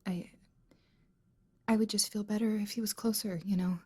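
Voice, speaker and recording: A woman's voice: mid-range voice, fairly even intonation, normal speed, a little mellow, slightly rough, close to an everyday voice. A woman playing a frightened, exhausted young mother in an audio drama, with a fragile, naturalistic delivery. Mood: tired, subdued.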